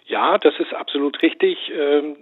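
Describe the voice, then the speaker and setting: A man's slightly higher voice comes through a thin, tinny telephone line. He explains in a measured, lecturing manner, pausing on "ähm" fillers as he builds each point for a radio interview. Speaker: language institute director, phone guest.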